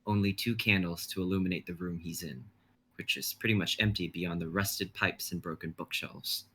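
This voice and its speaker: A young man reading stage directions in a steady, measured way. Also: sits low, a little hiss or hum. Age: young adult.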